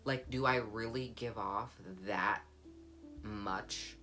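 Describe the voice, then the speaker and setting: A young woman with a deep, warm voice, speaking candidly and reflectively in a personal vlog. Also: steady tone, takes it slowly. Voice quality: deep, low voice; warm, comforting. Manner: candid, reflective, soft-spoken. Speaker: vlogger. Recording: guitar music playing underneath. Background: guitar music.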